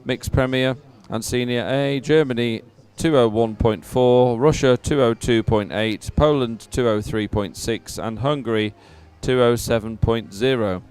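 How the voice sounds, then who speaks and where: A man giving live sports commentary as an event announcer, in a measured, hesitant way with frequent pauses. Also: mid-range voice, darkish tone, smooth.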